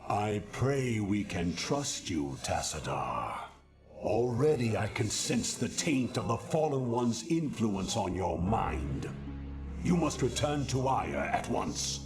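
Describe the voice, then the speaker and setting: An actor playing a stern, haughty alien judicator in a video game, speaking formally and pompously. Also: male voice, lowish voice, very animated, takes it slowly, exaggerated voice. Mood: suspicious, stern. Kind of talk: scripted sci-fi video game briefing. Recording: loud game music behind.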